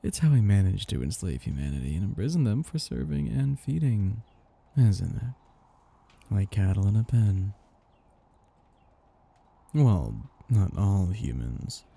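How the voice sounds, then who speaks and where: A man playing a possessive, condescending vampire master who croons and teases slowly in an intimate ASMR roleplay. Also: on the low side, big pitch swings, heavily acted. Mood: smug, adoring. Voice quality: husky, gravelly, dark. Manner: slow, crooning, teasing, condescending.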